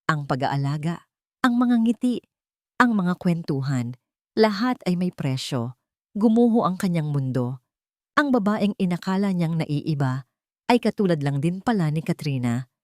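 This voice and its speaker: Woman reading a dramatic love story, kinda low voice, super dry close sound. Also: expressive, a little slow, pauses often, darkish tone, no echo. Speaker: story narrator. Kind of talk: dramatic scripted romance narration.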